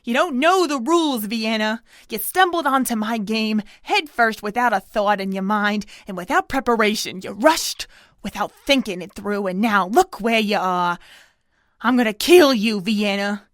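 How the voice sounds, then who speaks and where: A woman playing a scheming, menacing pony villain in an audio drama, taunting in a theatrical, drawn-out way. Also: medium pitch, no echo, studio quality, lightly acted. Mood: cold menace.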